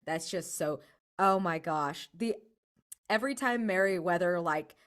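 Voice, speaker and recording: A woman with a fairly low voice talks casually as a player in a roleplaying game. Her way of talking is informal and enthusiastic. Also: measured pace, a bit bright. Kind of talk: casual chat.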